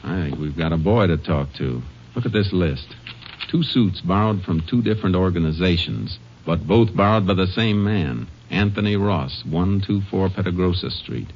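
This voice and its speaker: An actor playing a calm, sharp Texas Ranger investigator in a radio drama, terse, matter-of-fact and authoritative. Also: male voice, deep voice, moderate pace, a little hiss or hum. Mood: businesslike. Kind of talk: old-time radio detective acting and narration. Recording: muffled old radio recording.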